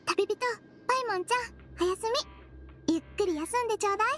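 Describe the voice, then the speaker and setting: A woman voicing a small, sweet head nurse in an anime game, girlish and gentle, with reassuring phrasing. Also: extremely high pitch, fast talker, heavy background noise. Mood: friendly.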